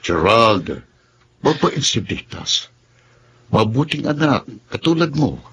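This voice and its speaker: An actor playing a gentle, wise middle-aged father in a Tagalog radio drama, slipping English phrases into his Tagalog. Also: medium pitch, big pitch swings, takes it slowly, husky, exaggerated voice. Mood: gentle, persuasive.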